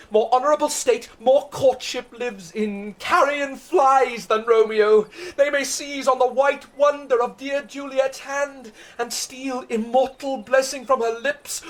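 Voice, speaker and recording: A man playing a distraught, impetuous young banished lover in classical Shakespearean radio drama, declaiming with anguish. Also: very high voice. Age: young adult. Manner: declamatory, anguished, bursting into shouts and sobs. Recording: faint hiss, 1990s radio drama CD.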